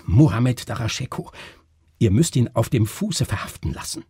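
A horrified doctor on the edge of fainting played by a man in a radio drama. His voice is very husky and dark, dramatic and urgent, groaning and breathing his words out in agitation. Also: mid-range voice, lively intonation, normal speed, no room sound, clean studio sound, voice pushed up. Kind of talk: German literary radio drama reading.

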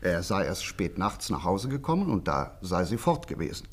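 A man's voice: sits low, big pitch swings, moderate pace, breathy edge, full character voice. A man acting an eager, gossipy small-town local in a German radio crime play, talkative and emphatic. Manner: talkative, emphatic, indignant asides. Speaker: actor in a radio crime drama.

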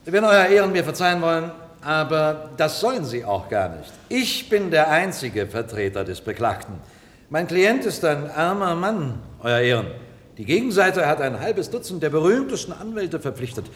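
An actor playing a persistent, courtly defence lawyer in a German radio drama, speaking formally and emphatically. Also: male voice, a little high, very animated, normal speed. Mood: courtly, persuasive. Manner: formal, rhetorical, emphatic delivery. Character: male defence lawyer, eloquent, persistent, courtly, pleading for robots. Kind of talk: 1960s-1990s German radio drama acting. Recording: reverberant hall, old radio play.

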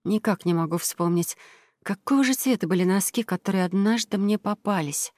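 A woman voicing a playful, thoughtful, gentle mother in a children's storybook. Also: medium pitch, fairly quick, dry recording, close to an everyday voice. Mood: musing. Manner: musing, playful. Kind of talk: children's audio storybook character voice.

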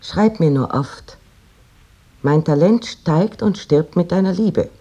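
An actor voicing a lovesick, yearning letter writer in an intimate, fervent dramatic reading of old letters. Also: male voice, high-pitched, takes it slowly, mellow, husky, lo-fi sound, heavily acted. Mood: ardent, yearning.

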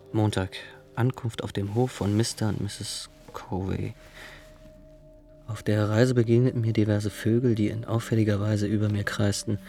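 Gravelly, formal, methodical male inspector. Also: fairly low pitch, darkish tone. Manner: clipped, formal, methodical, deliberate. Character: young, earnest, zealous government inspector, stiffly formal. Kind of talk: radio drama, dictated case log monologue.

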